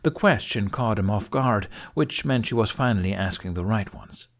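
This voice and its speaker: A man's voice: on the low side, animated, moderate pace. A man narrating fiction as an audiobook reader, in a dramatic storytelling style.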